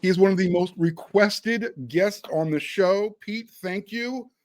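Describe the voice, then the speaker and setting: A man's voice: high voice, measured pace, no room sound. A man hosting a sports show, asking questions in a conversational interview style.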